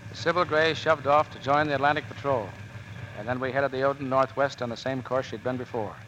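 A tough American Navy chief petty officer, acted by a man in a 1940s radio drama. He is gruff and authoritative, with a forceful, medium-pitched voice on a faintly noisy old broadcast. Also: fairly even intonation, brisk, muffled recording, close to an everyday voice. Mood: matter-of-fact. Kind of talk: 1940s radio acting, narration and dialogue.

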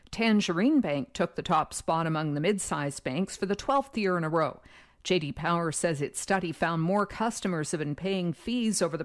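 Canadian woman reading a news report, reporter style, voice a bit low.

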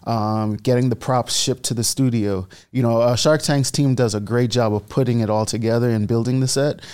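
A man's voice: medium pitch, steady tone, moderate pace, a little mellow, clean tone. A young man from the Bahamas, a guest entrepreneur telling his story in a casual interview and acting out the quotes.

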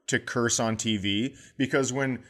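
A man's voice: medium pitch, steady tone, measured pace, fairly bright tone. A middle-aged man hosting a comedy podcast, chatting casually and playfully, slipping into goofy voices for jokes.

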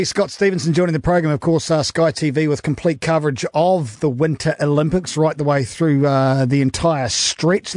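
A man's voice: fairly high pitch, a little mellow, dry recording, studio quality. A man hosting a radio show, asking questions in a casual, chatty way. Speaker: radio host.

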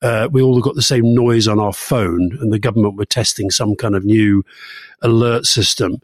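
A man's voice: fairly low pitch, fairly even intonation, normal speed, no echo, studio quality. A man co-hosting a political podcast, talking casually and often repeating words as he starts a sentence. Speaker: podcast co-host.

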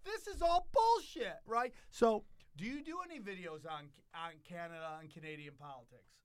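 A comedian hosting a casual interview, rambling and joking loosely with some swearing. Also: male voice, sits high, expressive, normal speed, clear, resonant tone, no echo. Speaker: podcast host, stand-up comedian.

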